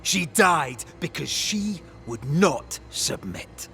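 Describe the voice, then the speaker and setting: An actor playing a weary, blunt ghost hunter in a video game, stern and accusing, with measured, emphatic delivery. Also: male voice, a little high, animated, gravelly, sharp tone, full character voice. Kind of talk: dramatic video game acting.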